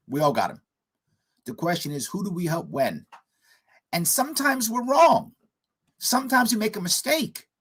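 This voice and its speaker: A man hosting a call-in radio show with a hoarse, husky voice. He talks in short, emphatic bursts, and his pitch swings widely as he drives each point home. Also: a bit bright. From United States. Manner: emphatic, repetitive political commentary. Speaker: radio talk show host.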